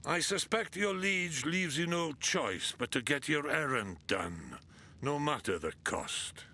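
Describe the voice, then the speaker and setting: An actor playing a stern, weary military commander in a fantasy video game, curt, authoritative and sardonic. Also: male voice, fairly high pitch, lively intonation, slow pace, pushed and bright, heavily acted. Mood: stern, businesslike.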